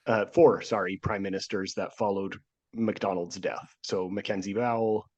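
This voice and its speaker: A man's voice: on the low side, fairly even intonation, moderate pace, darkish tone, lo-fi sound. A man hosting a casual history podcast, talking through a cold that nearly took his voice, joking and laughing often. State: nearly lost voice, sick. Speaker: podcast host. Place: home studio, remote.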